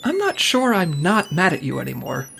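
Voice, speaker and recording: Woman acting a prim, animated spy agent. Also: fairly low pitch, moderate pace, gravelly, faint music behind, exaggerated voice. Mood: dry, reconciled. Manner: precise, dry. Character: prim, dry, proudly formal female spy agent. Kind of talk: audio comedy drama acting.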